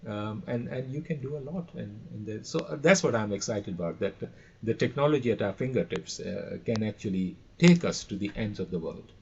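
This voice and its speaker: A man speaking as an interview guest, conversational, with halting and hesitant phrasing. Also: on the low side, animated, gravelly. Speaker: podcast guest, missions worker. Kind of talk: casual interview talk. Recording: distant, dull mic with background noise.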